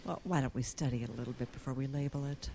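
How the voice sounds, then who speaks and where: A woman's voice: a bass voice, racing, mellow, a little hiss or hum. A woman acting a dry, skeptical scientist in an audio drama, speaking precisely and a little smugly. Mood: intrigued.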